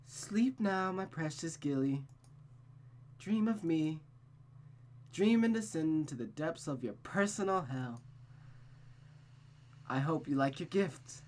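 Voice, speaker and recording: A woman's voice: low voice, expressive, takes it slowly, pauses often, darkish tone. Woman voicing a childlike, deranged murderous girl, sing-song. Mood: sweetly menacing. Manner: sing-song, pleading, menacing. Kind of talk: visual novel character voice acting.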